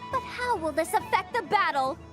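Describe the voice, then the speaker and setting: Very high, theatrical little girl. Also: animated, moderate pace. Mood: theatrical, excited. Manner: theatrical, brash announcer-like delivery. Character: excitable little girl robot battler in moon goddess costume, cocky. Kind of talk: animated game voice acting. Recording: music behind the voice.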